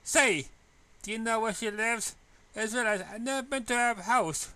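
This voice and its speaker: Guy doing a cocky sporty teen girl, pitched way up, bright n slangy. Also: normal speed. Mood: casual. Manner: casual, slangy, punchy. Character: brash, sporty, confident teenage schoolgirl.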